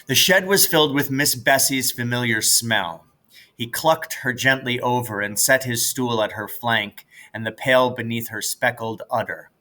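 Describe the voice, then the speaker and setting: A man narrates a children's novel as a read-aloud. His voice sits at a middle pitch with a bright, forceful tone, in the style of a teacher reading a story. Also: a little slow.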